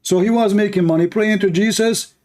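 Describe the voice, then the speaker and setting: A man with Arabic as his first language hosts a live religious call-in show. His voice is fairly high and mellow, and he debates conversationally, pressing his point with repeated questions. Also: fairly even intonation, brisk. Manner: insistent, questioning, repeats himself.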